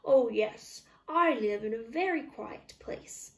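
Obliging storybook mole, voiced by a woman, singsong, very varied pitch, slow, echoey room. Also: animated. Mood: helpful. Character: helpful underground mole. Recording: roomy, echoey, distant microphone.

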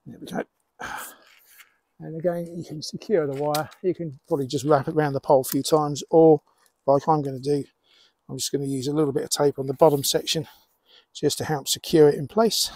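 Middle-aged man, higher-pitched voice. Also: fairly even intonation, brisk, darkish tone, no echo. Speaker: amateur radio hobbyist presenter. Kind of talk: explanatory product demonstration.

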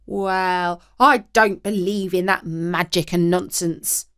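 A woman's voice: lowish voice, steady tone, takes it slowly, a bit bright, smooth. A woman voicing a grumpy, dismissive poor husband in a storybook reading.

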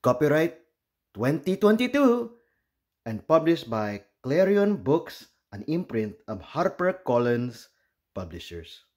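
A man's voice: on the high side, very animated, takes it slowly, darkish tone. A man from the Philippines hosting a children's read-aloud, telling the story expressively and acting out the lines and sound words. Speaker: children's storybook reader and host.

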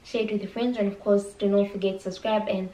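A woman's voice: medium pitch, level tone, fast talker. A woman in her twenties with a Zambian accent, giving reflective commentary to camera as a reaction video host. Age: 20s. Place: small bare indoor room. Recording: echoey room, distant mic, faint noise.

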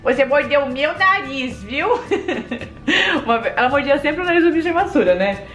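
Chatty young woman over loud party music. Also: high voice, normal speed. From Brazil. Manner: lively, chatty, teasing. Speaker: vlogger. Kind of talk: casual vlog chat to camera. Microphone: handheld camera at arm's length. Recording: music playing in the background. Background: loud party music nearby.

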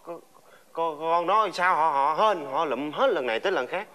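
A fawning male servant from a comic fairy-tale film, with a Southern Vietnamese accent. His voice is very high and forceful, and he repeats himself and stammers as he flatters his master. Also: normal speed. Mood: puzzled, gossipy.

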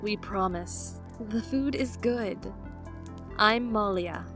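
An actress playing a kind, earnest young female sage in an audio drama, speaking gently. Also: medium pitch, slow pace, music in the background, natural voice.

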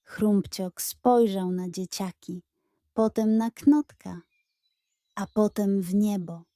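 A woman's voice: mid-range voice, slow pace, mellow, dry recording, studio quality. A woman reading a scripted children's story as a narrator.

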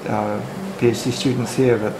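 A man's voice: mid-range voice, flat delivery, moderate pace. A man moderating a seminar discussion, relaying online comments with frequent fillers. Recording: echoey room, background noise.

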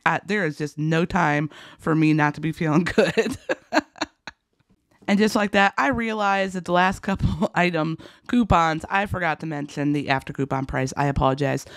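A woman with a rough, gravelly voice hosting a couponing video, chatting excitedly and casually in a folksy way through deals in voice-over. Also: lowish voice, no room sound, studio quality. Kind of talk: enthusiastic casual deal rundown voice-over.

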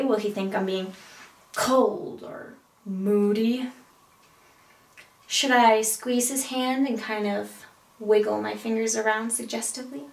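A woman playing a neurotic, melodramatic single woman in a comedic stage monologue, with deliberate dramatic pauses. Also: fairly high pitch. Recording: echoey room, distant mic.

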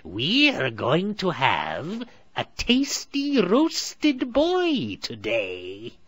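A wicked old witch with a high, stretched-out, loud voice that creeps from honeyed coaxing to nasty threats, as a man puts her on. Husky and close on a dry storybook reading. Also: huge pitch swings, dry recording, highs cut off, heavily acted. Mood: menacing. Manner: drawn-out, wheedling then shrill and harsh. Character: crafty, greedy old witch, cruel.

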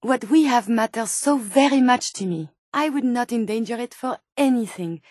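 A high, closely recorded female voice with a French accent, an earnest video game medical robot who is learning to be human. She speaks in formal, carefully chosen words, with open curiosity and tender feeling. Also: normal speed, no echo, clean studio sound. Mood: tender, hesitant. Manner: precise, formal, expressive diction. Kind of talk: video game character dialogue.

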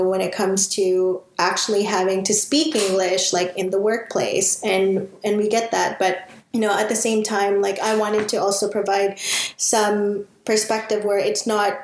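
A young Canadian woman co-hosting a podcast, telling stories in a chatty, animated way. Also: medium pitch, reverberant, a little hiss or hum. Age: young adult. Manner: chatty, animated storytelling with laughs. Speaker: podcast co-host. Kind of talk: casual chat.

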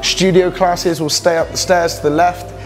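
A man's voice: high-pitched, flat delivery, normal speed, faint music behind. A man in his thirties, a personal trainer, leading a chatty walking tour of a gym with lots of fillers, recorded on a camera mic in large indoor halls. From England. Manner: upbeat, chatty, many fillers. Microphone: camera mic, handheld camera following. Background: fans and building work.

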